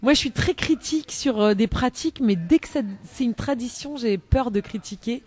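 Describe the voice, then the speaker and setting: A woman's voice: fairly high pitch, animated, moderate pace, a little mellow. A woman chatting on a live radio show as a columnist, rambling with many hesitations and laughing often, into a studio microphone.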